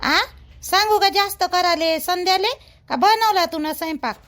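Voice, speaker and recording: A woman's voice: very high-pitched, normal speed, a bit bright. A woman voicing a chatty, nosy but helpful older village housewife in a cartoon, speaking colloquial Vidarbha Marathi full of exclamations. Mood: helpful, coaxing. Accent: Varhadi Marathi, Vidarbha. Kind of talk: rural family cartoon dialogue.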